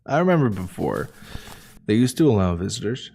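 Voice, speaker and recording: Easygoing low-voiced male space explorer. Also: very animated, brisk, gravelly, mellow, slightly rough, exaggerated voice. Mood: curious. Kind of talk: naturalistic sci-fi audio drama dialogue.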